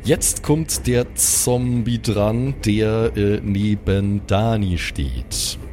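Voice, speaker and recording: Low-voiced man as game master. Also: mellow, soft background music. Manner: descriptive, with pauses to improvise. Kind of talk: improvised narration of a tabletop role-playing game.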